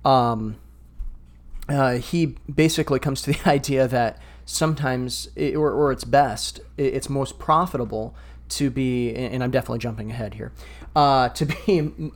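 Man, mid-pitched, earnest podcast co-host who restarts his sentences and stammers while thinking aloud. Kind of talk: casual discussion podcast.

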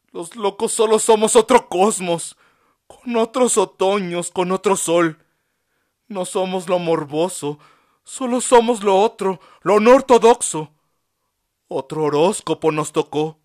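Frantic male asylum patient in a storybook, high and loud, read by a man. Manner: shrill pleading outbursts.

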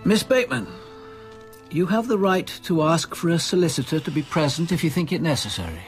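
An actor playing a composed, probing police detective in a British radio drama, formal, precise and insistent. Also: male voice, fairly high pitch, sing-song, a little fast, soft background music.

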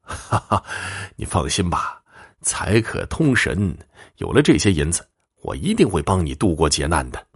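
Man as a sly, low-voiced swindling fortune-teller. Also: wildly swooping pitch, moderate pace, dry recording, clean studio sound, exaggerated voice. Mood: gleeful reassurance. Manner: smooth-talking, cajoling, self-important.

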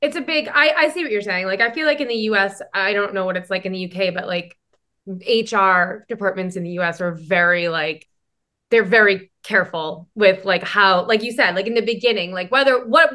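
A woman's voice: medium pitch, brisk, bright, forceful tone. A woman co-hosting a podcast, talking loosely and filling her sentences with "like". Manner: loose, filler-heavy with many likes. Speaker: podcast co-host. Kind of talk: casual podcast chat.

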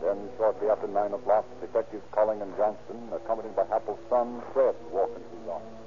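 Fast-talking 1930s radio crime narrator, male. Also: lowish voice, fairly even intonation. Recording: muffled old radio recording. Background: music.

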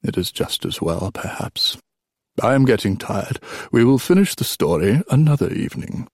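A man voicing an old, drowsy king and wry father, telling tales slowly and deliberately in an audiobook. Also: medium pitch, very animated, a little mellow, dry recording, clean studio sound. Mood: wry, teasing. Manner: slow, deliberate storyteller's delivery with sly teasing.

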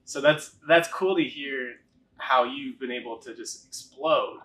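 A man's voice: mid-range voice, unhurried, sharp tone, poor audio. A man with a mid-range voice hosts a casual interview. He is encouraging and often drops in short affirmations. Faint background noise sits behind his voice. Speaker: interviewer from a health practice.

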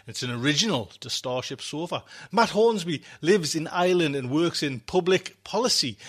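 A man's voice: on the high side, fairly bright tone. A man hosting a podcast, chatting in a rambling, repetitive way as he introduces the show. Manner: rambling, repeats himself. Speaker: podcast host.

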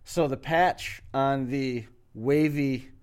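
A man co-hosting a podcast, telling a long, rambling story in a relaxed, casual way with frequent restarts. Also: mid-range voice, slow, clean tone. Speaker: podcast co-host.

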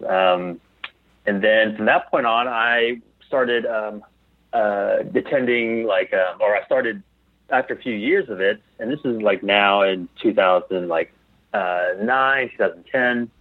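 A man as an interview guest, telling anecdotes casually and haltingly over a tinny remote call. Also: fairly low pitch, no echo. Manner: halting, repeats phrases as he thinks aloud.

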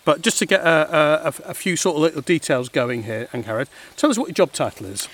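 A man's voice: a little high, lively intonation, a little fast, fairly bright tone. Hesitant male podcast host. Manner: hesitant, many uhs and restarts. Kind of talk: interview podcast.

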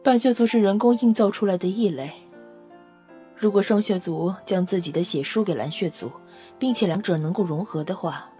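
An actress playing a solemn, wistful half-blood alien woman in an audio drama, explaining things in a measured, serious way. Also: lowish voice, dark tone, natural voice. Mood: somber, resigned. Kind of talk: Chinese audio drama acting. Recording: music under the voice. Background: background music.

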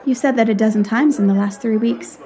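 Refined, high-voiced young lady, played by a woman. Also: a little fast, mellow, close to an everyday voice. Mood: reproving. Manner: polished, formal old-fashioned diction. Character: Amy, spirited, refined young lady abroad, fond and frank. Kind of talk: audio drama acting of a period story.

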